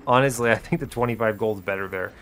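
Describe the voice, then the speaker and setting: A man's voice: fairly low pitch, a little fast, a bit bright, a little hiss or hum. A man hosting a gaming video, thinking aloud in casual, chatty commentary with breezy, wry understatement. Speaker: host of an educational gaming video series.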